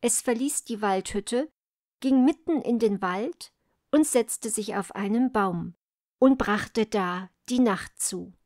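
A woman's voice: medium pitch, slow pace, a little mellow, dry recording, clean studio sound. A woman storyteller reading a scripted fairy tale narration.

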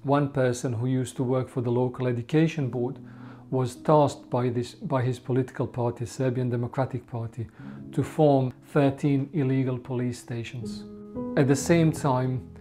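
A man from Bosnia in his forties recounts his childhood and war calmly in a documentary interview. His voice is mellow and even, with soft music underneath. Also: mid-range voice, normal speed. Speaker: genocide survivor interviewee. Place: indoor room with bookshelves.

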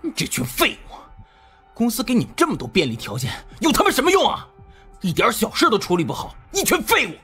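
A man's voice: very high-pitched, huge pitch swings, a little fast, a bit bright, husky, soft background music, full character voice. A man playing an arrogant, foul-mouthed young boss who barks scornfully at underlings in an audiobook drama. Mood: furious, contemptuous. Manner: scornful, barking.

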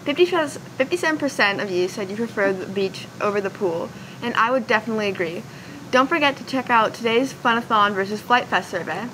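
A woman's voice: mid-range voice, expressive, a little fast, pushed and bright, slight background noise, low-quality recording. A teenage girl anchoring a school news show, reading earnest scripted segments. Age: teens. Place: school TV studio, indoors.

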